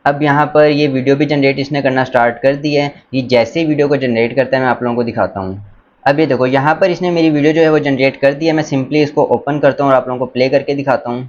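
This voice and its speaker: A man talks viewers through a screen tutorial casually and enthusiastically, dropping in English words. His voice is middling in pitch, on a dull recording with faint noise behind it. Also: steady tone. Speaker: tutorial presenter.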